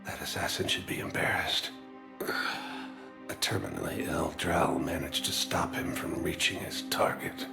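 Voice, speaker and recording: Gravelly, breathless dying alien assassin. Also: male voice, deep voice, fairly even intonation, music in the background, full character voice. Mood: weak, solemn. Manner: halting, solemn, formal. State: weak, short of breath. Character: dying alien assassin, gentle, devout, at peace.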